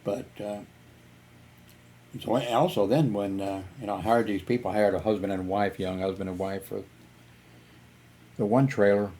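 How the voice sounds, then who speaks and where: Man, vlogger, rambling storytelling, deep voice, faint hiss behind. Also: steady tone, normal speed, pauses often, warm tone. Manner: rambling, lots of "you know", chuckles. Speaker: YouTuber. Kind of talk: casual storytelling vlog.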